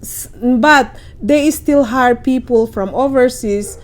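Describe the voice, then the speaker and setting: A woman's voice: a little high, slow pace. A woman vlogging at home with casual advice, chatty and encouraging, often checking in with her viewers. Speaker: vlogger giving caregiver job advice. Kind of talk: casual advice vlog to camera. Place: at home in Canada.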